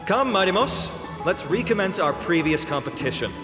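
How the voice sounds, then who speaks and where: A man voicing an earnest young heroic warrior in a video game, polite, articulate and gently teasing. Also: high-pitched, sing-song, normal speed. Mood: friendly, challenging. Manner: polite, articulate, slightly theatrical. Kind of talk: anime-style video game voice acting. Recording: echoey hall reverb, music behind.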